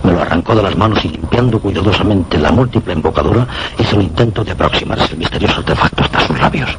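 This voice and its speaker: An actor with a Castilian accent playing an astonished researcher who recounts an eerie mystery in a suspense radio play, deliberate and full of dramatic pauses. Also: male voice, low voice, very noisy. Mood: grave, suspenseful. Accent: Castilian Spanish. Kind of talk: Spanish suspense radio drama, first-person narration and dialogue. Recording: radio drama with prominent music behind.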